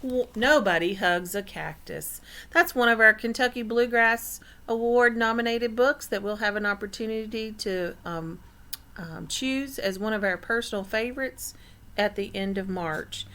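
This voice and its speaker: A woman's voice: a little high, measured pace, sharp tone. A woman reading a picture book aloud as a teacher, stopping to explain and put questions to the listeners. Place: indoor room.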